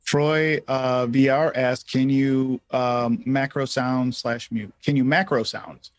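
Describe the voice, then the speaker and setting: A man's voice: mid-range voice, fairly even intonation, slow, no echo. A man co-hosting a tutorial livestream, explaining features and answering questions in a casual, conversational way.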